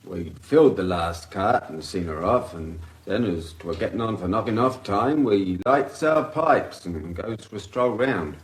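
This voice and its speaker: A man playing a chatty working-class gravel pit labourer with a rural English accent in a radio drama, rambling in a folksy way. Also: on the low side, animated, measured pace, hardly any pauses. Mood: rambling, startled. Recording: roomy echo.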